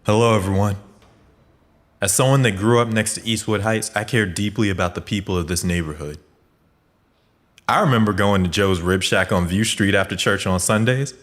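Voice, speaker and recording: A man's voice: lowish voice, moderate pace, fairly bright tone, close to an everyday voice. A man playing an earnest young community activist giving a sincere, heartfelt speech in an audio drama. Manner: sincere, nostalgic public speaking.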